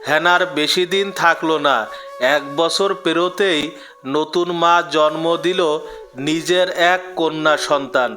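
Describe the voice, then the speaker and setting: Bright-voiced man narrating a story. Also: a little high, fairly even intonation, measured pace, faint music behind. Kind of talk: scripted cartoon story narration.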